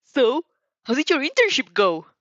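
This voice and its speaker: Woman playing a teenage boy hero, raised pitch, lively swings, loud, earnest, exclamatory, fan audio drama. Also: moderate pace, no room sound, lo-fi sound. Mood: booming excited. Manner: exclamatory, emphatic.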